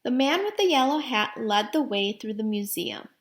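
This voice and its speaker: Lady doing a storybook read aloud for kids, highish voice, really animated, asks questions. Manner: simple, deliberate phrasing with questions to young listeners. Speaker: teacher reading aloud to students.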